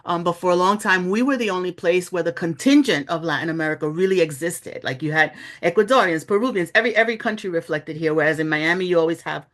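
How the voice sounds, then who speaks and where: A woman's voice: on the low side, fast, hardly any pauses, fairly bright tone. A middle-aged woman from New York City, speaking as an author in a reflective interview, telling her story candidly with many "you know" asides. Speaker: author, journalist and guest.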